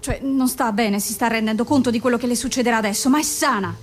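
An actress in an Italian radio play playing a grave forensic doctor, firm and controlled, with an insistent, blunt way of talking. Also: female voice, fairly high pitch, faint music behind, lightly acted. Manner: measured, insistent, bluntly direct.

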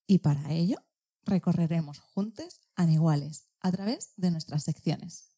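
A woman's voice: fairly low pitch, unhurried, mellow, husky. A woman with an accent from Spain, hosting and presenting a scripted radio show. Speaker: programme host.

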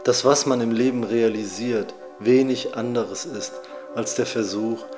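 A man narrating a scripted radio feature in a calm, measured reading manner. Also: medium pitch. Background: music.

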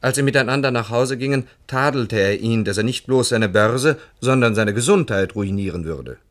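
A male actor plays a writer's earnest brother in a radio feature. He recounts a remembered episode with lively, literary phrasing, at a medium pitch. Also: normal speed, close to an everyday voice. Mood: earnest, animated. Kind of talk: dramatic reading of a recollection.

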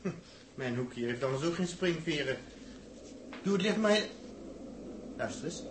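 An actor playing a weary, anxious and excitable male space traveller in a 1960s Dutch radio drama, stammering and exclaiming, on an old recording. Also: fairly high pitch, normal speed, slight background noise, close to an everyday voice, low-quality recording. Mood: uneasy.

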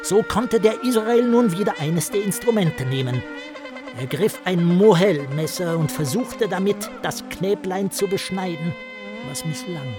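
A man playing a lurid storyteller in a German radio play, theatrical and insinuating, at times sinking to a breathy murmur. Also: high voice, a little slow, music in the background. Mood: agitated, relishing. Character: Herr Hund, a man spinning lurid hateful tales with relish.

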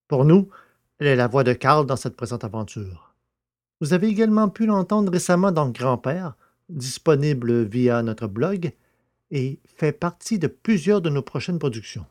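A man hosting a podcast and narrating a story in a scripted, deliberate manner. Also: fairly high pitch, very animated, darkish tone.

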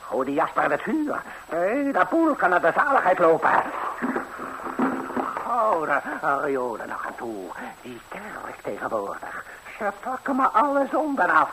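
Gruff devil, wildly swooping voice. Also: male voice, sits high, wildly swooping pitch, unhurried, full character voice. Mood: grumbling, irate. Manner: gruff, irritable grumbling and barking. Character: grumpy, bellowing devil, gluttonous man-eating son of an old mother. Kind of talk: 1960s Dutch radio fairy-tale acting. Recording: noisy old 1960s radio recording.

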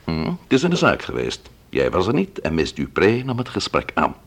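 An actor playing a polite but persistent police inspector in a radio play, questioning insistently. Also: male voice, low-pitched, huge pitch swings, moderate pace, vocal fry, husky, heavily acted. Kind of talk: Dutch radio play dialogue.

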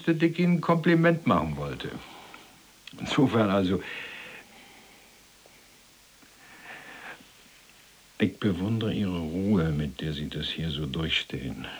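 A folksy German war criminal in a radio play, chummy and roundabout. His low, husky voice swings widely in pitch as he hesitates and circles around his point in colloquial speech. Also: exaggerated voice, rough recording. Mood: hesitant, curious. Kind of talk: German radio drama dialogue.

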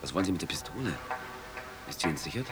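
An actor playing a wary, dry-witted chess lover who stays guarded and understated in a radio drama. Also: male voice, low voice, animated, fast. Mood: wary, composed. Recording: prominent music behind the voice.

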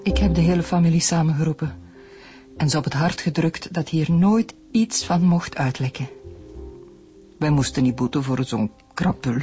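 A woman's voice: deep voice, normal speed, dark tone, soft background music, lightly acted. An actress playing an embittered, grieving middle-aged mother and long-abused wife in a radio drama. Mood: grieving, guilty.

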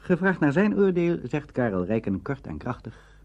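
Animated male radio documentary narrator. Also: a little high, normal speed. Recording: dull archival radio recording.

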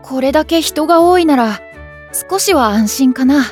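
A performer playing a shy, soft-mannered young man in an anime audio drama, polite and reserved. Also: sits high, animated, normal speed, soft background music. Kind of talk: Japanese anime drama CD acting.

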